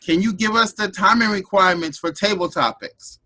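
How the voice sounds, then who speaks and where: Guy hosting table topics on a video call, high bright voice, slow, kinda playful. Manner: playful, repeats his questions. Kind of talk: running a club meeting segment.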